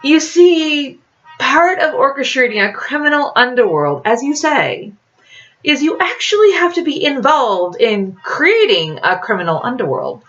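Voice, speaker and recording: A woman's voice: high voice, big pitch swings, a little slow, fairly bright tone, voice pushed up, full character voice. A woman voicing a male rogue in a fiction reading, flippant, exasperated and pleading in manner. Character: smooth-talking, pleading male rogue, notorious crime lord. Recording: dull lo-fi audio.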